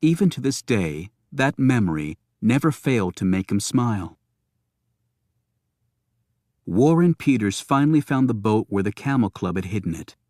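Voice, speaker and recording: A man's voice: on the low side, big pitch swings, normal speed, warm tone, no echo, studio quality. A man reading a thriller audiobook as a scripted narration.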